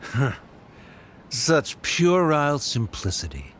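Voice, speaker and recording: A man's voice: medium pitch, wildly swooping pitch, slow, fairly bright tone, a little hiss or hum, exaggerated voice. A man playing a haughty, grudging scholarly father in a video game, formal and disdainful. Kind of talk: scripted game voice acting.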